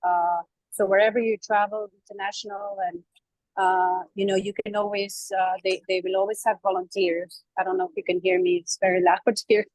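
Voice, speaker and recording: Female participant from Flanders, medium pitch, dry recording, polite and tentative in casual discussion. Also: level tone, brisk, darkish tone, no echo, rough recording. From Flanders, Belgium. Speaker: event participant. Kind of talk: casual contribution to an online discussion.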